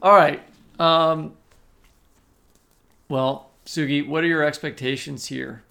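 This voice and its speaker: A man's voice: fairly high pitch, steady tone, slow pace, creaky, sharp tone. A man hosting a podcast interview in a casual, informal, joking way, as a fellow novelist. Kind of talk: casual interview chat and sign-off.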